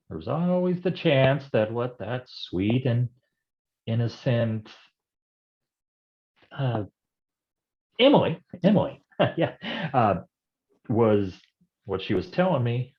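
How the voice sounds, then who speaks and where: A man's voice: medium pitch, highs cut off. An actor playing a wisecracking, down-at-heel noir private detective in a stage reading, with slangy tough-guy talk. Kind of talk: noir stage acting with narrated monologue.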